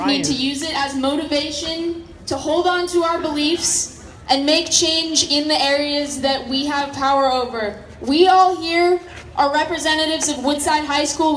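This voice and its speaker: A teenage girl giving an earnest, heartfelt speech at an outdoor school protest, raising her voice to a shout. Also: high-pitched, fairly even intonation, moderate pace. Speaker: student speaker at a protest. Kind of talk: emotional rally speech. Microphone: phone camera in the crowd. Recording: phone recording of a noisy echoey crowd.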